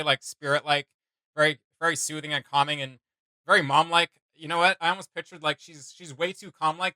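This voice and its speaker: Bright, higher-pitched man chatting casually. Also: no room sound. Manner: animated, rambling, slangy. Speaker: reaction video host. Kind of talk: casual reaction chat.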